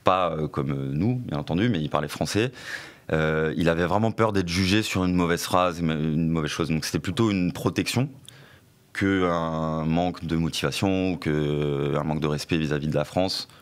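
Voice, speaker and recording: A man's voice: rumbling low, moderate pace. A middle-aged man, a former club press officer, answering questions on a radio talk show with many fillers and restarts.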